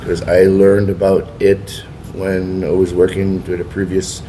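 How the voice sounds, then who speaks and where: A man's voice: low-pitched, completely flat delivery, unhurried, dark tone, poor audio. A man chatting casually as a co-host in an interview. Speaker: show co-host. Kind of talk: casual chat. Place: indoors at a distillery. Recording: faint room noise, air conditioning.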